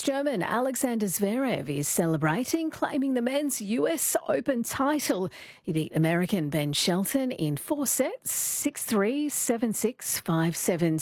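A woman with an Australian accent reading a radio news bulletin in a measured way. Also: fairly high pitch, darkish tone, no echo, clean studio sound. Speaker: newsreader.

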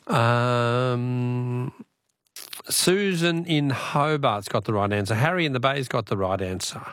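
A man's voice: medium pitch, takes it slowly, fairly bright tone. An Australian man hosting a live phone-in radio quiz, chatting with callers in a jokey, bantering way.

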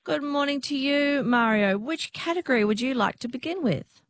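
A woman's voice: high-pitched, normal speed. Chatty woman quiz host. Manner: chatty, laughs easily. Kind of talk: live radio quiz chat. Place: radio studio.

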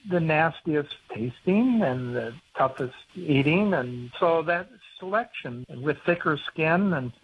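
A man's voice: fairly high pitch, animated, slow, hardly any pauses, a little hiss or hum. A man with an American accent explaining things conversationally as a radio interview guest, over a phone line. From United States. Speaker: author and conservation biologist, interview guest. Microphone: telephone.

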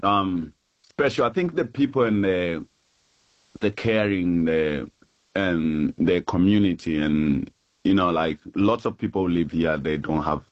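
A man, interviewed on radio as a musician. His voice is very deep, and he talks in a hesitant, friendly way with some stumbles. The sound is slightly dull and lo-fi. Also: measured pace. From Tanzania. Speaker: musician, guest.

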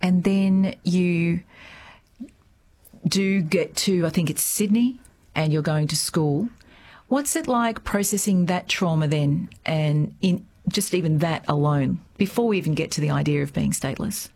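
A woman hosting a radio interview, asking gentle probing questions and often rephrasing them. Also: lowish voice, a little slow. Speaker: radio host.

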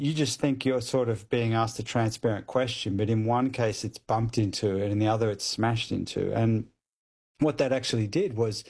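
A man's voice: mid-range voice, a little mellow. A man speaking as a guest expert on a radio show, explaining studies at length with many hedges like kind of and you know.

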